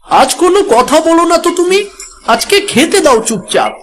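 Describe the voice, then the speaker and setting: A man's voice: extremely high pitch, very animated, moderate pace, fairly bright tone, a little hiss or hum, exaggerated voice. A man voicing a greedy, always hungry young village boy in a cartoon. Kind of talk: Bengali cartoon dialogue.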